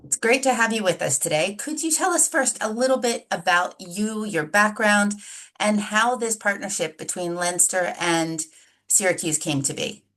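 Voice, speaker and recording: A woman hosting, reading a scripted introduction smoothly and then asking a guest questions. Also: medium pitch, normal speed, a bit bright. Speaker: interviewer.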